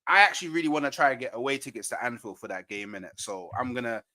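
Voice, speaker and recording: A man's voice: mid-range voice, expressive, rapid-fire, sharp tone. A man in casual, slangy football banter as a fan panellist, often tagging his points with "innit". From England.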